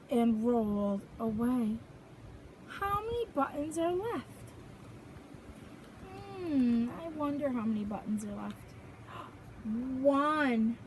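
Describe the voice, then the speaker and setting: High-voiced woman reading slowly to young children. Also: noisy background. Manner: sing-song, expressive, simple phrases for small children. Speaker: preschool teacher reading to children. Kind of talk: children's picture book read-aloud with counting questions.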